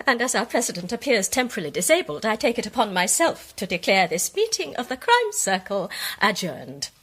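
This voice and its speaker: An incisive lady detective, lively intonation. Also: mid-range voice, moderate pace, slight background noise. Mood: coolly dissenting. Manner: precise, assured, formal. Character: poised, incisive lady amateur detective, coolly contradicting. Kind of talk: British radio drama acting.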